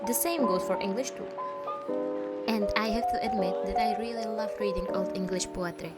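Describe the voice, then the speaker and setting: A woman with a Czech accent narrating her art vlog in a gentle, dreamy, slightly playful way. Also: medium pitch, moderate pace. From Czech Republic. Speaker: artist vlogger. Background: music.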